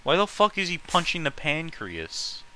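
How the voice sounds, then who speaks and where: A man's voice: fairly high pitch, huge pitch swings, normal speed, pushed and bright, clean tone. A man reading a story aloud and breaking off for blunt, exasperated, sweary commentary. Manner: exasperated, blunt, incredulous asides. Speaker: host reading fan fiction aloud.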